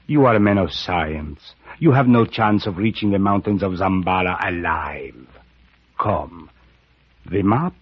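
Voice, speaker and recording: Suave, low-voiced villainous cult priest. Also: male voice, husky. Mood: smooth menace. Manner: theatrical, silky, taunting, drawn-out words. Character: sinister, suave male priest of a cult, mockingly courteous villain. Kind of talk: old-time radio drama acting. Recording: muffled vintage radio recording.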